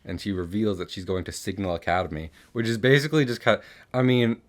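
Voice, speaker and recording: Young adult man, low pitch, casual podcast host, rambling recap, sweary. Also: quick pace, slight background noise. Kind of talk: casual chatty recap of an animated series.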